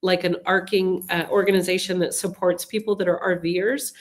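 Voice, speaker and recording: A woman's voice: on the low side, little pitch movement, normal speed. Woman with a cold hosting a webinar. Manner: chatty and explanatory, with frequent ums and restarts. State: has a cold, sniffling. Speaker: relocation advisor hosting a Q&A. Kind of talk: live webinar Q&A, answering viewer questions. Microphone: computer mic on a webinar call.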